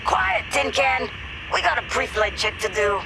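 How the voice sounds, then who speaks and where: Chatty, gravel-edged starfighter mechanic. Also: sits high, big pitch swings, moderate pace, noisy background, exaggerated voice. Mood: businesslike, upbeat. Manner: chatty, informal. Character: chatty, droid-distrusting starfighter mechanic, friendly and eager. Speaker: hangar mechanic. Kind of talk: cinematic video game dialogue.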